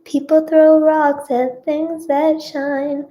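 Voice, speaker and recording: Woman acting a soft, high-voiced anxious wife. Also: fairly even intonation, a little slow. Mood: tender. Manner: soft, fragile, murmuring. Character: tearful, anxious young wife seeking comfort.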